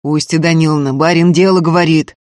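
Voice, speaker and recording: A narrator playing a stolid, stubborn, gruff peasant man who speaks bluntly in an audiobook. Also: mid-range voice, steady tone, brisk, darkish tone, no echo, clean studio sound, lightly acted. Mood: pleading.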